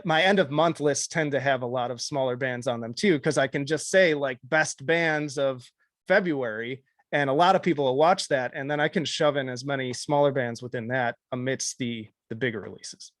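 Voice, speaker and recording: A man's voice: medium pitch, moderate pace, dry recording. A man chatting casually as a panelist in a roundtable discussion. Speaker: music video creator on a panel.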